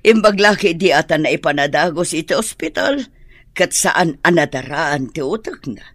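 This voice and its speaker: A woman's voice: deep voice, lively intonation, moderate pace, a bit bright, dry recording. An actress with an Ilocano Filipino accent playing an exasperated, well-off middle-aged mother in a radio drama. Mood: troubled.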